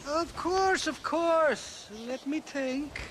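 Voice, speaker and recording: An actor playing a merry, forgetful Irish rogue in a game cutscene, rambling and hesitant with chuckles. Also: male voice, very high-pitched, drawn-out words, a bit bright, slight background noise, heavily acted. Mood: vague, merry. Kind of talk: video game cutscene acting.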